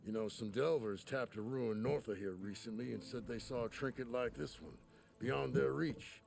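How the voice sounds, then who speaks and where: An actor playing a hearty old wordsmith in a video game, telling things in a grandiose, theatrical, gravelly voice. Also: male voice, medium pitch, moderate pace, darkish tone, close to an everyday voice. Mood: curious, jovial. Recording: music behind the voice.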